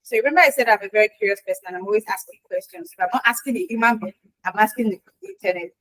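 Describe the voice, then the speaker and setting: A woman, a Yoruba speaker, answering interview questions in a lively, chatty way with acted-out quotes. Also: medium pitch, rapid-fire, no room sound, poor audio. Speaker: guest expert in quality assurance.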